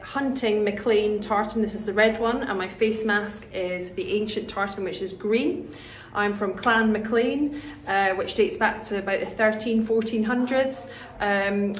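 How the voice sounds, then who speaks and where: A woman's voice: a little high, slight background noise. A woman in her thirties from Scotland with a Scottish accent, a teacher talking casually to her pupils with frequent ums and uhs. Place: small indoor room. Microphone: phone at arm's length. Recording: echoey room.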